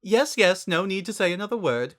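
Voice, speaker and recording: Man voicing a high, refined, theatrical young lady. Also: expressive, fairly quick, fairly bright tone. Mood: gracious. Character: refined, dramatic, kindly teenage fashionista girl.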